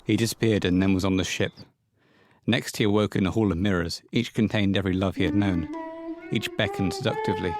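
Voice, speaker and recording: A man narrating a scripted audio horror play in a measured, literary storytelling manner. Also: sits low, noisy background.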